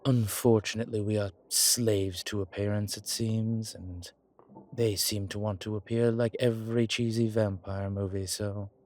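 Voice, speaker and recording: A man playing an ancient vampire commander in an intimate audio roleplay, speaking with formal, old-fashioned diction and a measured, haughty manner. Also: on the low side, steady tone, darkish tone, close to an everyday voice. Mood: haughty, irritated. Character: ancient male vampire commander, haughty yet tender to his companion. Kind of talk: intimate audio roleplay acting, one side of a conversation.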